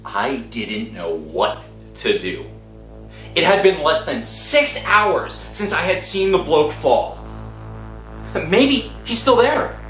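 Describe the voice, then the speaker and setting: Man playing frantic guilt-ridden bloke, swinging pitch, echoey. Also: sing-song, fairly bright tone, exaggerated voice. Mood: anxious, restless. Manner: agitated, dramatic, halting confessions. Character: frantic guilt-ridden working-class man who robbed a body. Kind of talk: old-time radio thriller acting. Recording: roomy echo with music underneath.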